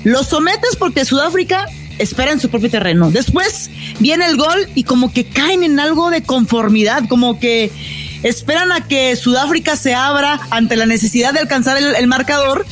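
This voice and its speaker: A woman's voice: high voice, lively intonation, normal speed, a bit bright, soft background music. A woman giving football match analysis as a sports analyst, in emphatic declarative phrases.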